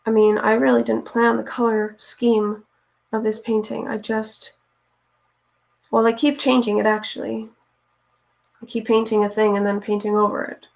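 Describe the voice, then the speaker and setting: A woman with a raspy, hoarse voice chats casually with viewers while she paints. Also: on the high side. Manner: chatty, talks to viewers while working, often unsure what to do next. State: hoarse from reading aloud. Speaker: streamer painting live.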